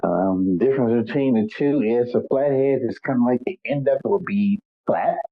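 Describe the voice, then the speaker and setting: A man's voice: medium pitch, normal speed, no room sound. A man hosting a casual call-in show, stuffed up and a little nasal, talking in an easygoing, rambling way with lots of "um"s. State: congested, stuffed up. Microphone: phone or conference call line. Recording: tinny phone line, dry.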